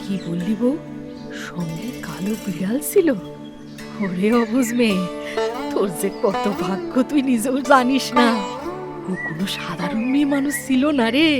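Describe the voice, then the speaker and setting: A woman voicing a devout older village mother-in-law in an animated horror cartoon, speaking in a scolding, fervent way. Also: fairly high pitch, normal speed, lightly acted. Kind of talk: animated horror story dub. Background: background music.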